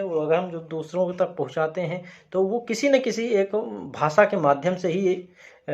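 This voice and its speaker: A man from India explains a lesson in a high voice, repeating his key points with steady teacherly emphasis. The recording sounds dull and lo-fi. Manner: repetitive, emphatic teacher phrasing. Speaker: educator.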